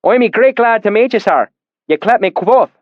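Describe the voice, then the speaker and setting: A man playing a young man laying on a folksy fake rustic dialect, friendly and ingratiating, with a lively up-and-down delivery. The sound is dry and somewhat muffled. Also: high voice, moderate pace, dry recording, pitched above the performer's own voice.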